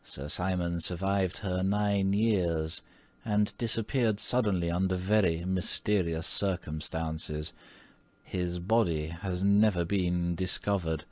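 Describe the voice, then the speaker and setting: A man voicing an old-fashioned elderly female housekeeper who speaks in a low, slow, mysterious voice. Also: dry recording. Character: old woman housekeeper, quaint and ominous.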